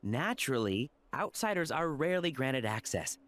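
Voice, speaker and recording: A man's voice: medium pitch, sing-song, normal speed, a bit bright. An actor playing an earnest, polite male researcher of ancient ruins in a video game, bookish and explanatory. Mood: earnest, scholarly.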